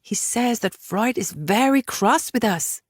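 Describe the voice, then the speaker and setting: Woman acting steely woman, lively intonation. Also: a little high, measured pace, no echo, clean studio sound, pitched above the performer's own voice. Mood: breezy. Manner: assertive, cool, formal. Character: steely, self-possessed, dangerous woman with secrets.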